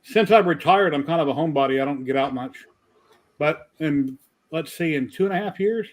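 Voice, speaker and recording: A man's voice: a little high, brisk. Chatty American man around sixty. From United States. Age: about 60. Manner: chatty, gives directions in a relaxed storytelling way. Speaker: retired maker, chat regular. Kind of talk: casual group chat on a video call.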